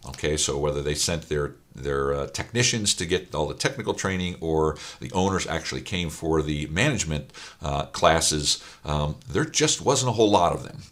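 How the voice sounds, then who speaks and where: A middle-aged man, hoarse and raspy from a weekend of speaking, talking straight to camera as a business coach with emphatic, filler-heavy delivery. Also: deep voice, fairly bright tone. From Ohio, USA. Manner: emphatic, repeats points, many fillers. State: hoarse after long speaking. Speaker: auto repair shop business coach. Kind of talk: direct-to-camera talk.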